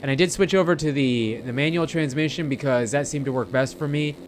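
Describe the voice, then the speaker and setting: A man's voice: fairly high pitch, brisk, a little hiss or hum. A man giving casual, rambling gameplay commentary, chatting informally and speaking directly to his viewers. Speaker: gamer narrating gameplay.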